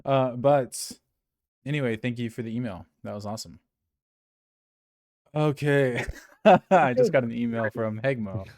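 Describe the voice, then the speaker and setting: A man's voice: medium pitch, measured pace, pauses often, a little mellow, dry recording, studio quality. A man co-hosting a casual gaming podcast, reading listener emails in a rambling, self-correcting way and chuckling often.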